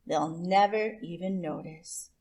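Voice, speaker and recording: A woman voicing a lazy, sly male trickster rabbit from a storybook, full of innocent-sounding, drawn-out excuses. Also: on the low side, darkish tone.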